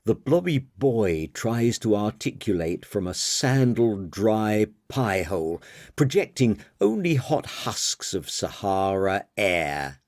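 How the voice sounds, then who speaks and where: Slow, low-pitched male audiobook narrator. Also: sing-song, a little mellow, dry recording, studio quality. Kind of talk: scripted literary narration.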